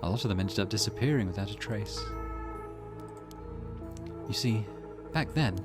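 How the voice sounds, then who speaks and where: A man voicing a reflective woman in a visual novel, telling a grim tale in a measured, wistful way over music. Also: fairly low pitch, animated, lightly acted. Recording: loud music behind voice.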